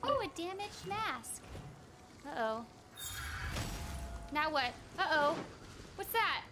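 A young woman streams a game in a high, very animated voice. She is excitable and giggly, repeating little phrases as she chats. Game music plays clearly behind her. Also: takes it slowly. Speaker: streamer and voice actress. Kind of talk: casual live gaming stream chat.

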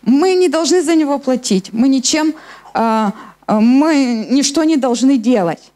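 High-pitched woman preacher. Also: animated, a little slow, dark tone. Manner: explanatory, addressing listeners with questions. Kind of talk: sermon with scripture reading. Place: church hall stage at a lectern, indoors. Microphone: handheld microphone.

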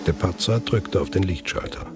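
A man's voice: deep voice, normal speed, over loud music. A man narrating a scripted thriller audio drama.

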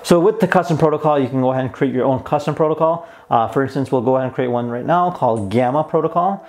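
A man's voice: mid-range voice, quick pace, darkish tone. A man in his twenties giving a casual hands-on product demo in an easygoing, mildly enthusiastic way. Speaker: host of a toy review channel. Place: plain indoor room at a table.

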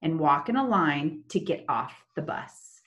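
A young woman teaching children in an online lesson, talking slowly and in a sing-song way over a laptop webcam. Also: sits low, animated, a bit bright, lo-fi sound. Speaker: special education teacher. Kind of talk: online lesson with screen share. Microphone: laptop webcam, video call.

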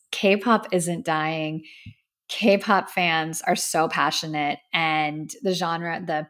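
A woman in her thirties from the United States, hosting a solo podcast and giving her opinions in an earnest, emphatic, conversational way. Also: fairly low pitch. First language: English. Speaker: podcast host. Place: indoor studio with a plain backdrop.

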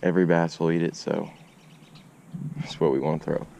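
Young fishing vlogger, deep-voiced, excitable; self-filmed outdoors, faint background noise. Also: male voice, moderate pace, creaky. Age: young adult. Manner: excitable, slangy, addresses viewers as y'all. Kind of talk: casual self-filmed narration. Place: outdoors beside a suburban pond. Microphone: action camera on chest and at arm's length.

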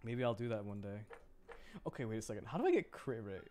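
A man's voice: lowish voice, sing-song, fast talker, darkish tone, a little hiss or hum. Man rambling casually on a game stream. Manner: rambling, repeats words, breaks into laughter. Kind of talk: casual livestream chat while gaming, reacting to viewers.

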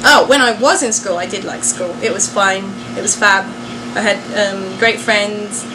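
A woman's voice: mid-range voice, normal speed, noisy background. A young adult woman, chatting casually and jokingly to viewers in a vlog. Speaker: vlogger and singer.

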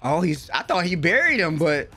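A young American man giving excited, slangy, exclamatory live reaction commentary at a close desk mic in a room at home. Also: a little high, moderate pace, faint music behind. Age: young adult. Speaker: reaction video host. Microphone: close desk microphone.

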